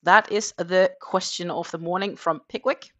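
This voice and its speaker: A woman's voice: fairly low pitch, fairly even intonation, moderate pace, fairly bright tone. A Danish-born woman hosting a casual morning crochet livestream in English, chatting in a rambling way with lots of fillers. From Denmark.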